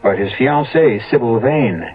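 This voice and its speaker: A man narrating a 1970s radio drama as host, ominous, formal and measured. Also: medium pitch, expressive. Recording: thin, phone-like old radio recording. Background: music.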